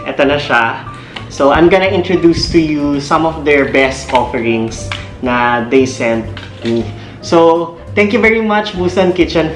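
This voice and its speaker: A man with a fairly high voice and a Filipino accent hosts a casual food-tasting vlog. He slips between English and Tagalog. The room is echoey, with music playing behind him. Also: fairly bright tone. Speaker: vlog host. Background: clear background music.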